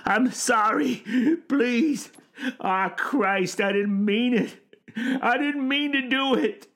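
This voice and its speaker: Audiobook role: gaunt old derelict, tearful and stammering, rustic speech, pitched high with gravelly creak. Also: male voice, a little fast, darkish tone. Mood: pleading, sobbing. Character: frantic, sobbing, gaunt old derelict man.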